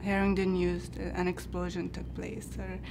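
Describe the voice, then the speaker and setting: A young woman gives careful, hesitant interview answers. Her lowish voice stays quite flat, and there is clear noise in the background. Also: normal speed. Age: young adult. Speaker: university student interviewee. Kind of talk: earnest documentary interview. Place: indoors by a large window. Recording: noisy room ambience.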